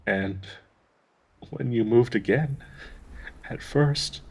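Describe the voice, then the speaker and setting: An actor playing a devoted, grieving male dryad in an intimate audio roleplay, speaking tenderly and haltingly with a husky, breathy voice. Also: medium pitch, full character voice. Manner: slow, halting, tender pleading with sighs.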